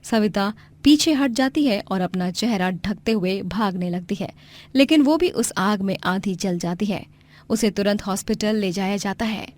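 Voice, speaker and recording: A female storyteller narrates from a script. Her voice sits at a medium pitch and carries the tale along. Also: measured pace, darkish tone.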